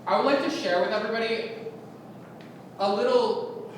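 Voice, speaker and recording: A man's voice: high voice, moderate pace. A young man giving an ad-libbed campaign speech as a speaker candidate, rambling and correcting himself with a wry edge. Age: young adult. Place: large echoey meeting room. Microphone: distant room mic. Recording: echoey hall from far off, noisy.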